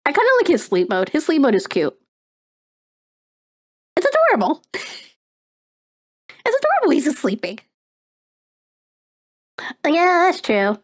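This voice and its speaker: Chatty high-voiced young woman streamer. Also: unhurried, long pauses. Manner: rambling, excitable, lots of fillers and laughs. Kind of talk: casual live-stream chat.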